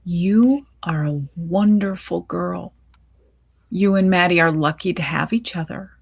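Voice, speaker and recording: A woman reading the part of a gentle, affectionate middle-aged mother in a short story, soothing and reassuring. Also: on the low side, measured pace, full character voice. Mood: comforting. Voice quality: husky, creaky, gentle.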